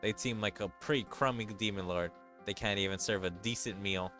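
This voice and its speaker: A man's voice: on the low side, steady tone, fairly quick, bright, forceful tone, soft background music. A man voicing a commanding, teasing male demon lord in an anime game. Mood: haughty.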